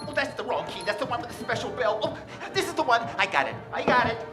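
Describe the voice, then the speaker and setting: Casting: nervy, nosy shop worker woman performer, very lively swooping delivery, stammers and exclaims, old-time radio play, echoey room. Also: on the high side, fast talker, over music, lightly acted. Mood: frantic. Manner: stammering, gasping, exclaiming aloud. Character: flustered, nosy department store employee, nervous and talkative. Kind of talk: 1940s radio drama acting. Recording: roomy stage sound with clear noise.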